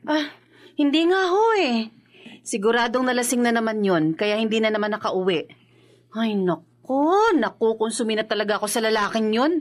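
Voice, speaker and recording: An actress playing an exasperated, anxious wife in a Filipino radio drama, fretful and emotive. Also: female voice, a little high, moderate pace, lightly acted.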